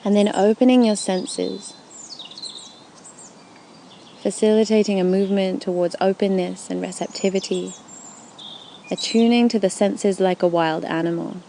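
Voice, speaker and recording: A woman guiding a yoga nidra meditation in a soothing, unhurried way with gentle, deliberate phrasing, recorded outdoors. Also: medium pitch, steady tone, creaky, slight background noise. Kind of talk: guided meditation. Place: outdoors in a forest at dusk. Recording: outdoor recording with faint birdsong. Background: birdsong and forest ambience.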